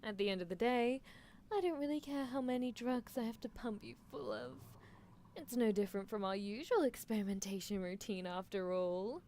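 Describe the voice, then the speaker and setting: A woman's voice: fairly high pitch, moderate pace, a little hiss or hum, natural voice. A woman playing a possessive, coolly unethical female mad scientist in a live ASMR roleplay, coaxing the listener with theatrical delivery. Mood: teasing, sly. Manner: languid, theatrical, coaxing, with soft chuckles.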